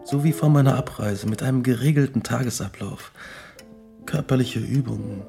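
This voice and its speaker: Ein Schauspieler spielt in einem Hörspiel einen gequälten, reumütigen jungen Wissenschaftler, der ernst und grüblerisch in literarischer Sprache erzählt. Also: male voice, medium pitch, moderate pace, vocal fry, over music, close to an everyday voice. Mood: subdued, weary. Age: young adult. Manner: brooding, literary, earnest. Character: haunted, remorseful young male scientist. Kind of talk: radio drama narration and dialogue.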